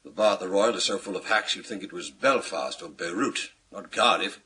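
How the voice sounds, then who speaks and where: An actor playing a pompous, image-obsessed senior British police chief in a radio thriller, formal and given to pauses. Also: male voice, low-pitched, pushed and bright, a little hiss or hum. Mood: weary, worried. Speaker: actor in a radio crime drama.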